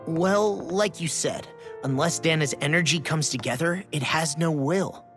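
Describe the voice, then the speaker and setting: A man's voice: on the high side, a little slow, a bit bright. A man playing a sincere, loyal and slightly bashful young fighter in a video game cutscene. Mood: sincere, resolute. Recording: music behind the voice. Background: music.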